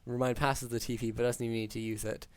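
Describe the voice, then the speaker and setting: A man with a hoarse but smooth voice calls a strategy match on his own. He drifts through the plays with ums and quick reads, pitch barely moving. The sound is dry and close, with no room around him. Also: no room sound. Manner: steady, filler-heavy analytical play-by-play. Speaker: game caster. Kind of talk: solo esports play-by-play commentary.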